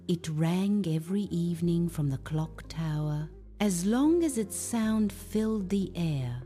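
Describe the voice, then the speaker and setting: A woman voicing a sorrowful old male village baker in a children's storybook, speaking in a low voice. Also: mellow.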